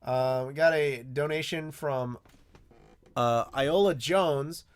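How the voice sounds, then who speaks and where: A man's voice: fairly high pitch, slow, smooth. Chatty man rambling on a live stream. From United States. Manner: chatty, rambling, lots of ums and restarts. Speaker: YouTube host answering live chat. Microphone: desk mic with clacking mechanical keyboard.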